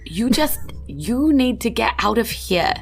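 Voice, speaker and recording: A woman's voice: a little high, big pitch swings, slow pace, vocal fry, soft background music, pitched above the performer's own voice, full character voice. A woman voicing an anxious, meek farm woman in a tabletop game, hesitant, stammering and apologetic. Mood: nervous.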